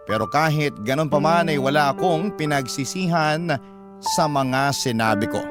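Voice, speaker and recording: Filipino male storyteller over prominent music. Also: mid-range voice. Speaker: radio story narrator. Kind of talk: scripted first-person story narration, classic radio style. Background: prominent background music.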